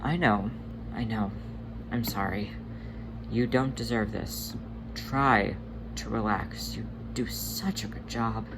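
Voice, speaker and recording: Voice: medium pitch, slow pace, pauses often, background noise. A performer voicing a laid-back, protective male cat who teases and reassures his brother, in a storybook fan reading. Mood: soothing, tender.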